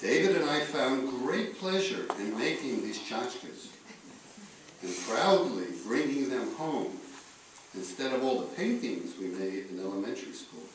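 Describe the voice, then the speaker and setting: A man reading a eulogy aloud in a solemn style, with measured, deliberate phrasing and pauses. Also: mid-range voice, lively intonation. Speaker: eulogist reading letters at a memorial. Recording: echoey room, distant mic, faint noise.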